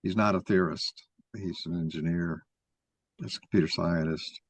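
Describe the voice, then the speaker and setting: A man's voice: deep voice, fairly even intonation, moderate pace, no room sound. A man giving an explanatory talk in an opinionated, didactic way, taking questions as he presents his argument.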